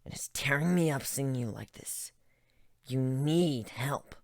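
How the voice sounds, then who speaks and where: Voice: medium pitch, darkish tone, dry recording, clean studio sound. A reader voicing a firm, earnest female griffin. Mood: sad, firm. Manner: earnest, firm, pleading, deliberate. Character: Gilda, blunt, concerned female griffin friend. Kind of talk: dramatic reading of fan fiction.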